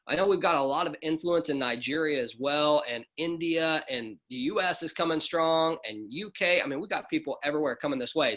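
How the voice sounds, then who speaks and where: A man hosts a video call in a casual, upbeat way. His voice sits a little high, and his tone is bright and forceful. He greets each speaker warmly and teases them lightly. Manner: friendly, joking, encouraging. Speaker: host of an online presentation.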